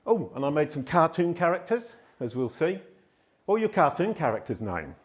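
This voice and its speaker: Loud, animated man giving a talk. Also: fairly high pitch, normal speed. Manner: loud, animated, self-deprecating storytelling. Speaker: conference speaker. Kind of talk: live conference talk. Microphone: handheld microphone.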